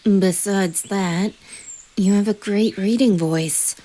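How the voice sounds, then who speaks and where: A woman's voice: mid-range voice, slow. A woman playing a playful, easily flustered young male bard and doting single dad in a fan audio roleplay, speaking expressively with exasperated affection. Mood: teasing, affectionate. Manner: expressive, exasperated yet affectionate, sighs and chuckles.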